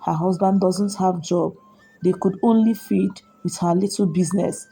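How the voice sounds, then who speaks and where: A woman narrating a scripted folktale in a measured, deliberate way. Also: lowish voice, steady tone, dark tone, slightly rough. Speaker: storyteller. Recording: music behind the voice. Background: background music.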